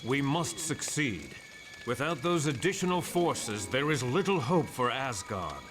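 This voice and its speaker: A grave warrior god from a video game, speaks with formal heroic dignity. The mid-pitched voice moves expressively, with clear music underneath. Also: male voice, very animated, a little slow, fairly bright tone. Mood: grave warning. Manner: solemn, formal, archaic heroic speech. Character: noble, grave male thunder god warrior.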